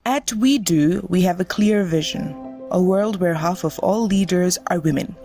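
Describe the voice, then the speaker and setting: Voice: mid-range voice, measured pace, mellow. A narrator reading a polished script for an organisation's promotional video. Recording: voice-over with music bed.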